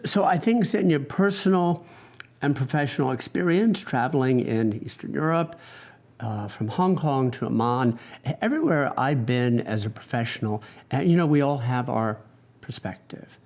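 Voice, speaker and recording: A man's voice: mid-range voice, expressive, normal speed, a little mellow. Emphatic American man debating. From United States. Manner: emphatic, rhetorical lists. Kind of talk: formal debate argument.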